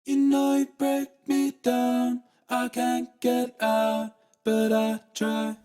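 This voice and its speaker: High autotuned woman singing pop harmonies. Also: fairly even intonation, takes it slowly, mellow, soft background music. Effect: tightly edited autotune, electronic vocoder-like sound. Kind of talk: sung pop vocal harmonies.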